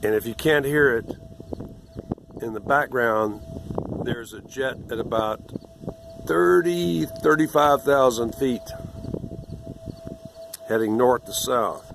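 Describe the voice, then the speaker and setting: Sixties man, rough irregular voice, slow and lowish, condescending rambler, outdoor noise. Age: 60s. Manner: condescending, lecturing, meandering with restarts. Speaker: vlogger. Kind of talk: rambling monologue to camera.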